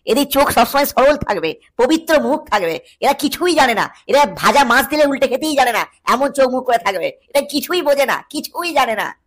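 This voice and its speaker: Woman playing a dramatic cartoon schoolboy, high-pitched, cheeky, theatrical comic lecturing. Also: very animated, a little fast, few pauses. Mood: mocking.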